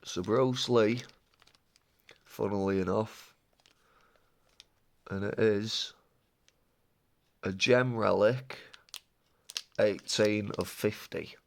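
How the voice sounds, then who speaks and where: A rambling, excitable man talks through a card unboxing in a fairly low voice. He sounds slightly stuffed up from a cold and chats casually, drifting off topic now and then. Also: drawn-out words, creaky, long pauses. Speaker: card-opening vlogger.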